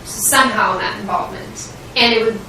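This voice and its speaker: A woman's voice: medium pitch, fairly quick. A young woman from Washington State with an American accent, giving earnest, hesitant answers as a medical student in an interview. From Washington State, United States. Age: young adult. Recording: roomy echo, distant mic, faint noise.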